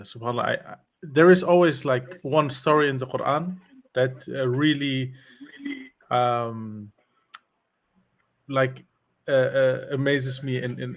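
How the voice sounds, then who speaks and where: A man joins a religious discussion as a co-host, his voice in an everyday middle pitch. He begins haltingly, stacking "uh" and "like", then settles into a steadier explanation. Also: creaky. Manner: hesitant start with many "uh" and "like", then steadier. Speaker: co-host and speaker.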